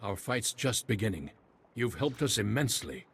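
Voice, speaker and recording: Low-voiced, courteous noble general. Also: male voice, very animated, creaky, slightly rough, heavily acted. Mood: earnest, grateful. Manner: formal, measured. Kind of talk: video game voice acting. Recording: faint battle noise, gunfire behind.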